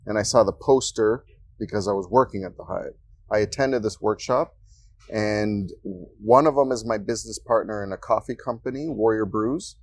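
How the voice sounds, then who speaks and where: A man from Ecuador speaking as a guest in a casual interview in a coworking space, relaxed and joking as he tells stories. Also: fairly low pitch, moderate pace. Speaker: guest, freelancer.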